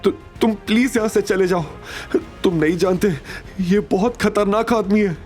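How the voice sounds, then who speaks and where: A frightened young woman in an audio drama, acted out by a man. The voice is lifted high and pleads anxiously, stumbling over repeated words, with music behind it. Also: unhurried, a little mellow. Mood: anxious pleading. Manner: pleading, stammering. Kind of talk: Hindi audio drama acting.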